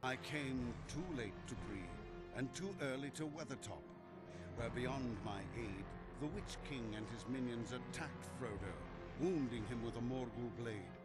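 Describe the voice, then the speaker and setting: An actor playing a grave old wizard who narrates a fantasy game in a solemn, measured, portentous way. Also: male voice, mid-range voice, expressive, close to an everyday voice. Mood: worried, brooding. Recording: loud music behind the voice. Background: loud music.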